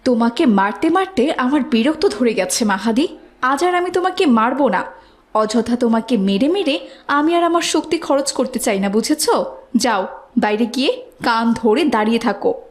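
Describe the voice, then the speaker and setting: A woman's voice: on the high side, animated, moderate pace, close to an everyday voice. A woman voicing a stern, exasperated schoolteacher, scolding and scornful, in a moral cartoon for children.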